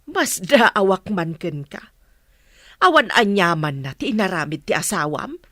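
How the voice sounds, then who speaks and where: A woman's voice: on the low side, sing-song, unhurried, a bit bright, no room sound, studio quality, heavily acted. A woman playing an indignant, disapproving middle-aged Filipino mother in a radio serial, scolding and exasperated. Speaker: radio drama actor.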